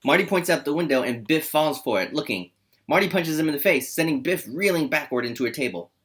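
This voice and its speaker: A man's voice: a little high, animated, fast talker, a bit bright, breathy edge. A man hosting a comedy table read and narrating the screenplay's scene directions. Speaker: host and narrator.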